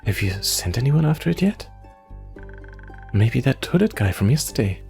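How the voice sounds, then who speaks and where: A man's voice: medium pitch, sing-song, moderate pace, vocal fry, a little mellow, pitched above the performer's own voice. A man voicing an anxious, self-doubting young adventurer from the forest, stammering and rambling in a visual novel. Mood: curious. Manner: nervous, hesitant stammering and rambling. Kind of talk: visual novel character read-aloud. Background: music.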